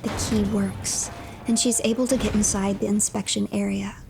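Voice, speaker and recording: A woman tells a game's story in a measured, breathy storyteller's voice. Her pitch stays very even, with a gravelly fry at the edges. Clear ambient noise sits behind her. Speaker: streamer narrating a game. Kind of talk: dramatic story narration, often breathy and hushed.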